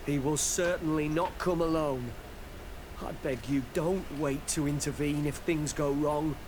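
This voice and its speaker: An actor playing a frightened, cowardly informant in a video game, pleading and deferential in manner. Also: male voice, fairly high pitch, fairly even intonation, unhurried, noisy background, lightly acted. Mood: anxious.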